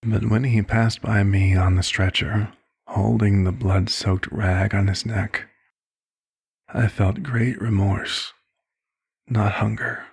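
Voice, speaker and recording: Casting: male actor as aged vampire physician for ASMR roleplay; kindly, formal, fatherly, heavy vocal fry, even intonation. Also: lowish voice, heavily acted. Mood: reflective, somber. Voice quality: husky, gravelly. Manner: measured, slightly formal, old-fashioned phrasing. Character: old, compassionate male vampire doctor, gently paternal and measured.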